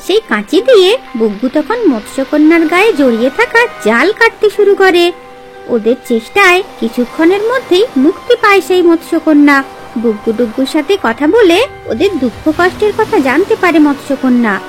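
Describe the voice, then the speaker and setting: Woman telling a kids fairy tale, really high voice, lots of swoops and drama, music behind. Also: brisk. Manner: expressive, dramatic. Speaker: storyteller. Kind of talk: dramatic scripted children's fairy tale narration. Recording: music under the voice.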